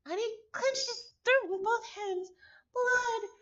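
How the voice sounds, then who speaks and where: A woman's voice: very high-pitched, takes it slowly, fairly bright tone, muffled recording. A woman reading a story aloud live with casual asides, often stumbling over and repeating words. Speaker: visual novel reader, streamer.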